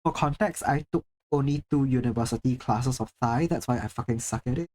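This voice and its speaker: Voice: mid-range voice, brisk, a little mellow. Casual live-stream chatter, from Singapore, self-deprecating, swears casually, desk mic at home. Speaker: livestreamer.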